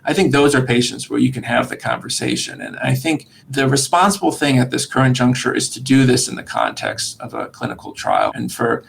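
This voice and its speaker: A man speaking as a medical expert guest, explaining in a measured, articulate way with a gravelly, creaky voice. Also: mid-range voice, big pitch swings, slight background noise. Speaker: surgeon-researcher guest. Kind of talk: podcast interview explanation.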